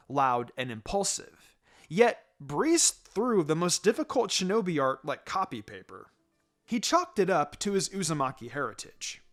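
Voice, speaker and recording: A man reading a story aloud as a narrator, in a scripted storytelling style. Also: high-pitched. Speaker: fan fiction reader. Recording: music behind the voice.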